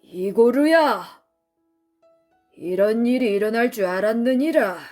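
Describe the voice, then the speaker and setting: A woman voicing a stern but loving king in a storybook, with slow, weighty, old-fashioned royal speech. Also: on the high side, lively intonation, darkish tone, soft background music. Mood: stern, grave.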